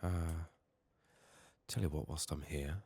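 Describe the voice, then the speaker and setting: Very deep, soft-spoken man, ASMR gamer. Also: steady tone, creaky. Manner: muttering to himself in short, unhurried phrases. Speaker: video game player and streamer. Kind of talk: soft-spoken ASMR game commentary, partly whispered.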